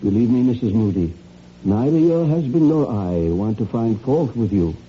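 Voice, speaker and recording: An actor playing a patient, probing psychiatrist with a deliberate, halting, soothing manner in an old-time radio mystery. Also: male voice, mid-range voice, lively intonation, natural voice. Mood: soothing, insistent. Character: patient, probing male psychiatrist, measured and paternal. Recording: dull, muffled radio drama with noise.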